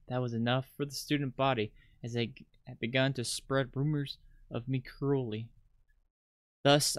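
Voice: mid-range voice, dry recording. A streamer reading game text aloud with casual asides, stumbling over words now and then. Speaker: host of a visual novel playthrough. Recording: music behind the voice. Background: game music.

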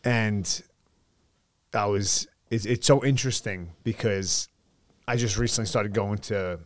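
A man in his thirties from the Bronx with a New York accent, telling his story as a podcast guest in a studio on a boom mic, animated with quick asides. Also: no echo. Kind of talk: casual storytelling. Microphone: studio mic on boom arm, headphones.

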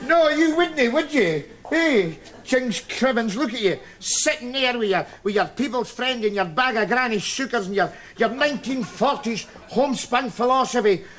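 A high-pitched scolding Scottish mum. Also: female voice, expressive, normal speed, few pauses, fairly bright tone.

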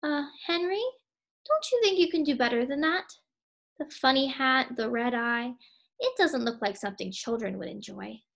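A woman's voice: high voice, expressive, moderate pace, creaky, fairly bright tone, exaggerated voice. A woman voicing a fretful, pleading female hare who coaxes her husband in a storybook. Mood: anxious doubt. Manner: pleading, exclaiming.

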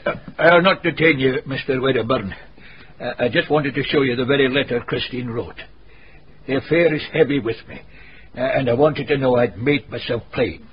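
A man's voice: mid-range voice, normal speed, natural voice. An actor with a Scottish accent playing a grave, plain-spoken village man in a radio drama. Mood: grave, earnest. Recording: muffled radio recording, faint noise.

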